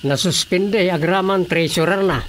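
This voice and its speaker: A man's voice: high-pitched, moderate pace, slight background noise, natural voice. An actor playing an earnest, indignant man with a Filipino accent in a radio drama comedy. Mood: grave, earnest.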